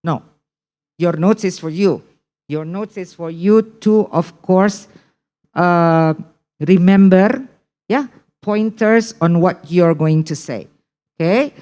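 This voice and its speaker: Teacher, Indonesian-accented woman, unhurried lecture, low voice, keeps asking "okay?". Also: mellow. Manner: repeats key points, checks in with "okay?". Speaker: English test prep teacher. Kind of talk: online class lecture.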